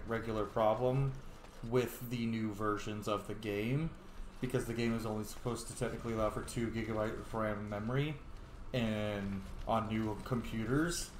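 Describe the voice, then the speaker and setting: A man hosting a role-play gameplay video, moving between casual commentary and lines spoken as his character. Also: lowish voice. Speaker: gaming video host playing and voicing his character. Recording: echoey room with faint background noise.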